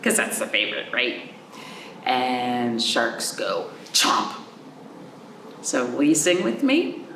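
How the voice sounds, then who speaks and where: A woman hosting a children's storytime, reading aloud and chatting in a sing-song, animated way, addressing young listeners directly. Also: a bass voice, takes it slowly. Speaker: library storytime host. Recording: roomy, distant mic, faint background noise.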